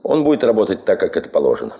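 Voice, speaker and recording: Deep-voiced stern expedition boss. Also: male voice, huge pitch swings, mellow, dull audio, full character voice. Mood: stern, commanding. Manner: curt, imperative, measured. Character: stern, commanding male expedition boss, curt and demanding. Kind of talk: 1970s Soviet radio drama acting.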